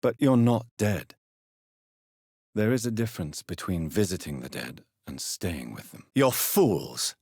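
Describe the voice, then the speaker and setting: A grave gentleman doctor turned vampire in a video game. His voice is very low and husky, moving expressively through formal, measured phrases. He stays courteous yet stern, in a close, dry recording. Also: very animated, dry recording, full character voice. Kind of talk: scripted video game character dialogue.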